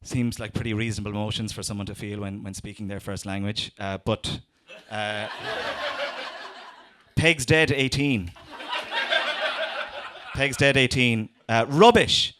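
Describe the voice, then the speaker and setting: A young man with an Irish accent delivers a comedy set in a fairly low voice. He is dry and sardonic, and he keeps trailing off into ums and restarting his sentences as he riffs. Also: steady tone, measured pace, pauses often, background noise. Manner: sardonic, conversational, frequent fillers and restarts. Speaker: stand-up comedian and researcher.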